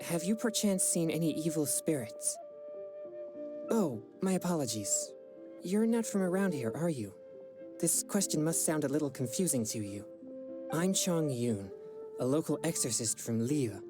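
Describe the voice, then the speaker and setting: A man's voice: fairly high pitch, animated, moderate pace, music in the background, natural voice. An actor playing a polite, earnest young male exorcist in a video game, with a formal, courteous manner.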